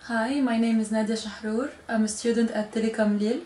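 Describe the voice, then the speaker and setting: A woman's voice: on the high side, fairly even intonation, a little fast, warm tone, reverberant. A woman introducing herself as a student who worked on the project's communication, plainly and steadily. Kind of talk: short self-introduction.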